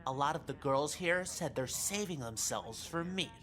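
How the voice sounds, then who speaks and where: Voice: mid-range voice, animated, normal speed, a bit bright, natural voice. An actor playing an awkward, overeager nerdy teenage boy in a visual novel game, chummy, boastful and defensive. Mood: smug, defensive.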